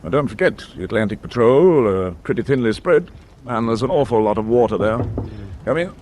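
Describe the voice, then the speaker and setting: A man's voice: mid-range voice, lively intonation, brisk, a little mellow, a little hiss or hum, lightly acted. A man playing a composed senior British naval officer in a radio war drama, clipped, courteous and understated. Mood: pensive, measured.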